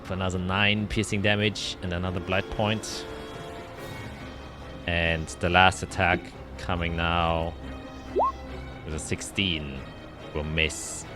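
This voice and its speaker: A man's voice: low-pitched, flat delivery, slow, long pauses, over music. A man narrating a tabletop roleplaying game as game master, describing scenes and calling out dice results.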